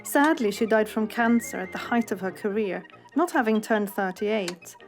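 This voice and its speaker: A woman's voice: medium pitch, normal speed. A woman giving scripted narration for a documentary film. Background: faint music.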